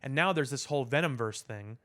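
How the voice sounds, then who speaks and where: A man with a clear, resonant voice in a medium register chats as a podcast co-host. He explains things at length, letting one clause run into the next in a casual, discursive way. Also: medium pitch, expressive, brisk, a bit bright. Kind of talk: casual pop culture discussion.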